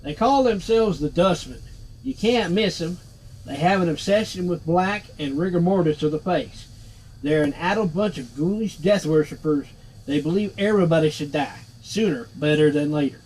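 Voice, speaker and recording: A man's voice: a little high, normal speed, a little mellow, a little hiss or hum, close to an everyday voice, poor audio. A man voicing a sardonic, wisecracking floating skull in a cheeky, sarcastic manner, reading game dialogue aloud. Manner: cheeky, sarcastic, street-talking.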